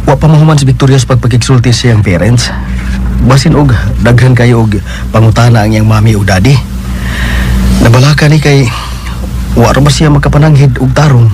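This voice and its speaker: A man plays a scheming Filipino accomplice in a radio drama. His voice is medium in pitch, set against clearly noisy traffic-like ambience. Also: fairly even intonation, fairly quick, long pauses, natural voice. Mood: concerned. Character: calculating male accomplice plotting against an enemy.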